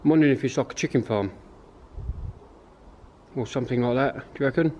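Man, chatty explorer, mid pitch, on-camera mic, faint outdoor ambience behind. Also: fairly quick, a little mellow, highs cut off, slight background noise. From England. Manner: chatty, wondering aloud, talks to viewers. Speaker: urban explorer vlogger. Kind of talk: casual exploring commentary. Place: outdoors and in derelict farm barns.

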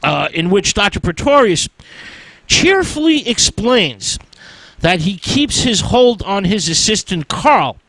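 A man hosting a radio show, giving an informal history lecture in a halting, drawn-out way with emphatic stresses. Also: high-pitched, very animated, fairly bright tone, no echo, poor audio. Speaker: radio host.

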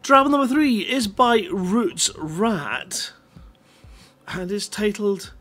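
A man's voice: sits high, animated, slow pace, a bit bright. A man in his thirties casually hosting a live story-reading show, speaking into a headset. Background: faint music.

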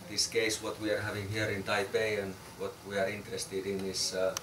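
A man in his forties giving an informal talk to a small group, halting with many fillers and repeated words. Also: on the low side, completely flat delivery. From Finland. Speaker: architect presenting a research project. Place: small crowded room, indoors. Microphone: far camera. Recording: roomy, distant, noisy room.

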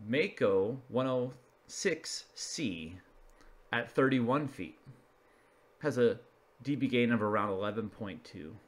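A man's voice: medium pitch, a bit bright. A man presenting an informal narrated slideshow, explaining each item in a matter-of-fact way. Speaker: video presenter.

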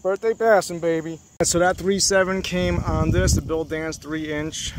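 A man narrating his fishing trip from a small boat on a lake, chatty and excited, talking straight to the camera. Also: fairly high pitch, moderate pace. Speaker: fishing vlogger. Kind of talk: casual narration while fishing. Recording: faint outdoor noise.

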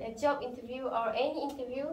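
A woman's voice: high-pitched, steady tone, fast. Earnest young woman from Malaysia. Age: young adult. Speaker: student. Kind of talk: group discussion. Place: meeting room, indoors. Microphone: far camera. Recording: roomy, distant, faint noise.

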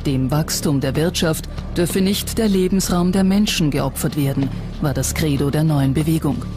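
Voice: medium pitch, normal speed. A documentary narrator reading a scripted text in a factual style. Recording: narration over prominent music. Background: background music.

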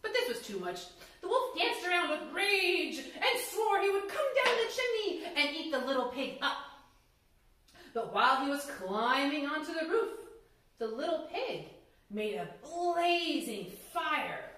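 A young woman reads a children's story in a high, very animated voice with theatrical emphasis. She is recorded in a strongly echoing room, some distance from the microphone. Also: measured pace. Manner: theatrical, expressive, emphatic delivery. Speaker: library storyteller, reader. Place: indoor room before folding screen. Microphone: camera microphone a few metres away. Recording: echoey room, distant mic, background noise.

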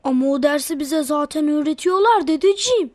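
A woman's voice: sits high, expressive, brisk, a little mellow, no room sound, clean studio sound. A woman voicing an eager, wheedling little boy in a children's cartoon, sweet to his elders. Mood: curious.

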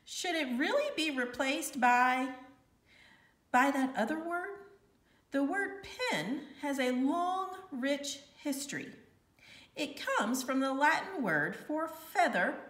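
A woman voicing a stern older female grammar teacher in a children's storybook, speaking formally and deliberately. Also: high-pitched, a bit bright, voice pushed up, full character voice. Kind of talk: children's storybook read-aloud. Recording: echoey room, distant mic.

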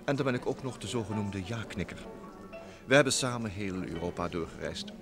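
An actor playing a smooth, talkative young private secretary and blackmailer, theatrically polite, in vintage radio drama style. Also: male voice, fairly low pitch, expressive, brisk. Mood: affably polite. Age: young adult. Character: smooth, sly thirty-year-old New York private secretary, a blackmailer. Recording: old radio play recording with music.

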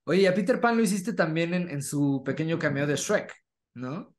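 A man's voice: on the high side, expressive, normal speed, faint music behind. A man in his twenties with a Mexican accent, chatting casually as an interview host. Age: 20s. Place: indoor room, video call. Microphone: desk microphone.

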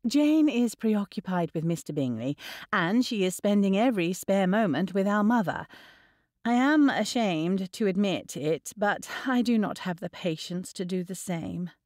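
A woman's voice: mid-range voice, animated, a little slow, a little mellow, dry recording, clean studio sound, close to an everyday voice. A woman voicing a young lady in an audiobook, earnest, polite and spirited. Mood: pleading.

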